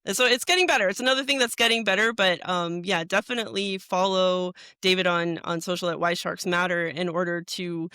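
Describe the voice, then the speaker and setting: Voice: mid-range voice, steady tone, a little fast, hardly any pauses, pushed and bright, clear, resonant tone, dry recording, studio quality. A podcast host asking follow-up questions with eager enthusiasm and many fillers. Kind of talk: podcast interview.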